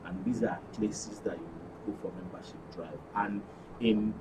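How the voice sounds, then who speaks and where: A man's voice: lowish voice, fairly even intonation, normal speed. A man with a Nigerian accent gives unscripted interview answers, explaining earnestly in long, winding sentences. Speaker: studio guest, actors' guild official. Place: radio and TV studio. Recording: distant microphone with clear background noise.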